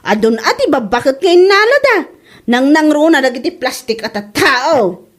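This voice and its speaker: A put-upon young wife acted by a woman with a high voice and a Filipino accent. She talks back to her mother-in-law with sassy, exclaiming complaints, in radio comedy style. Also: very animated, normal speed. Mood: sly, sassy. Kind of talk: Ilocano comedy radio drama acting.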